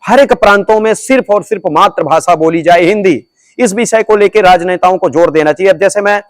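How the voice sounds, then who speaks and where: A man's voice: high voice, brisk, dry recording. A man, a religious teacher, answering interview questions in a declamatory, preaching manner and repeating phrases for emphasis. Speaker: religious guru being interviewed.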